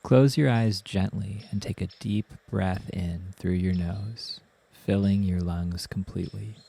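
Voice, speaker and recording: A man narrating a guided meditation as the guide, in a soothing, gentle manner with unhurried instructions. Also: low-pitched, fairly even intonation, gravelly, breathy edge.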